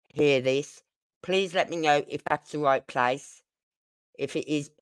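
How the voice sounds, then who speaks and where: A woman's voice: deep voice, a bit bright, smooth, dry recording. A woman with a British accent hosting a casual true-crime livestream, chatting in a rambling, informal way. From United Kingdom. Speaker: livestream host.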